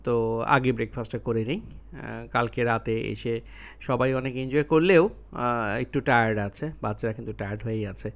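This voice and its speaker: A man's voice: mid-range voice, moderate pace, vocal fry, long pauses. Man narrating a casual travel vlog. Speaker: travel vlogger.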